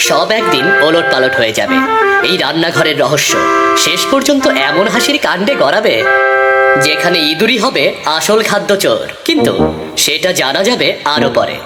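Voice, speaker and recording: A woman's voice: deep voice, sing-song, normal speed. A woman narrating a scripted comic cartoon story, with music behind the voice.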